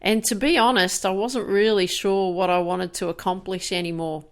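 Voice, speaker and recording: A woman in her fifties hosting a podcast, speaking candidly and haltingly in a reflective personal monologue, with many restarts and fillers. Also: medium pitch, fairly even intonation, clear, resonant tone. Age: 50s. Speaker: podcast host.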